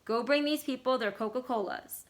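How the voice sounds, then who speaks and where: A woman's voice: a little high, normal speed, close to an everyday voice. A woman voicing a gloomy, folksy roadside diner man in a story reading. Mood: gruff. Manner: folksy, complaining.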